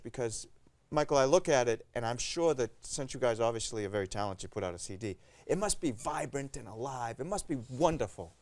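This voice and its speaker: Male tv host, mid-pitched voice, enthusiastic, praises his guests. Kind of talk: talk show interview. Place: TV studio.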